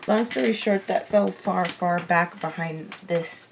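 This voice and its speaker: Raspy-voiced young woman vlogging at her laptop. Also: on the low side, measured pace, background noise. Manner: chatty, self-deprecating, swears under her breath. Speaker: TV reaction vlogger. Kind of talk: casual chat to camera. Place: her bedroom. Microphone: laptop mic.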